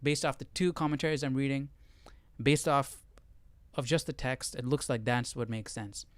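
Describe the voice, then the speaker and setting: A man's voice: medium pitch, steady tone, normal speed, clean tone, dry recording. A man hosting a casual livestreamed study session, thinking aloud informally and reading passages out as he works through them step by step. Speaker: Bible study livestream host.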